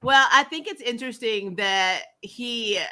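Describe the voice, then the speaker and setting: A woman co-hosting a movie review in animated casual banter, emphatic, often laughing and swearing freely. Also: a little high, measured pace. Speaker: co-host of a movie review podcast.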